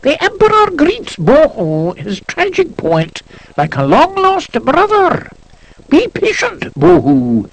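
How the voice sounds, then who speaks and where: A man voicing a squeaky, pompous imperial herald making slow ceremonial proclamations in a story, on an old cassette. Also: wildly swooping pitch, vocal fry, slight background noise, exaggerated voice. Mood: grandly ceremonial. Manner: slow, swooping, declamatory. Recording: low-quality transfer from old audiocassette.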